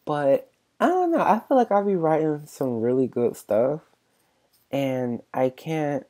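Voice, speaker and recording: A soft-spoken man in his mid-twenties chats loosely as a podcast host, his tone mellow and dark. He stops and restarts often and keeps leaning on filler words. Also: fairly high pitch, animated. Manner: halting, filler-heavy, many restarts and likes. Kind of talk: rambling casual chat.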